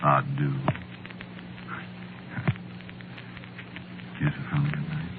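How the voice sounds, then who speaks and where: Low-voiced, weary, sardonic American scriptwriter. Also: male voice, completely flat delivery, quick pace. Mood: tired, tender. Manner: wry, world-weary, colloquial. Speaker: narrator and lead actor. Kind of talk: old-time radio horror drama, first-person narration. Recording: muffled, noisy old radio recording.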